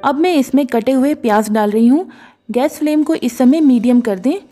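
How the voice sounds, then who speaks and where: Calm woman narrating a recipe. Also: high voice, moderate pace. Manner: calm, instructive, mixes English words into Hindi. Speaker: cooking show host. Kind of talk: step-by-step recipe voice-over. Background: soft background music.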